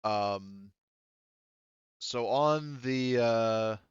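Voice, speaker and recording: A man acting as game master, casually narrating a tabletop game with halting, self-interrupting phrasing. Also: mid-range voice, clean tone, dry recording, lo-fi sound.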